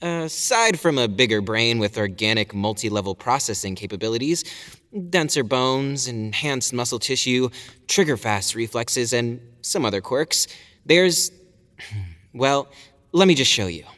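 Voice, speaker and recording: A man's voice: mid-range voice, expressive, a little slow, a bit bright. A man playing a proud, cocky warrior in a fantasy audio drama, explaining things in a breezy, boastful way. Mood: explanatory, amused. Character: cocky, proud male warrior showing off his powers. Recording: reverberant hall, faint music behind.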